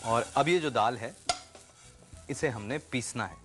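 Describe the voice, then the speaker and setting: A middle-aged man hosting a TV cooking show, explaining each step calmly with small pauses, recorded on a lapel mic in a studio kitchen. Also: medium pitch, faint music behind. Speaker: TV chef and host. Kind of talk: cooking show demonstration with chat.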